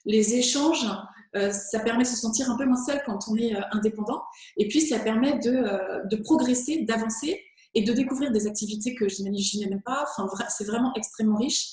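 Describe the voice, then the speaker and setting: French woman, guest speaking coach, slightly high, eager, very echoey room. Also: fairly quick, darkish tone. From France. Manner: enthusiastic, fluent with occasional fillers. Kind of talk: interview answers.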